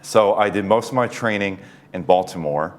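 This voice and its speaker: A man in his forties, a neurosurgeon guest on an interview show, explaining things in a measured way with light chuckles. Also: low-pitched. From United States East Coast. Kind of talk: casual interview talk.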